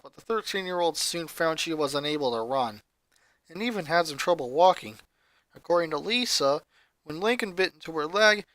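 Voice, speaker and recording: Someone reading a fan fiction story out loud, mid pitch, really dry recording. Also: dry recording. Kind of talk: scripted story narration with character voices.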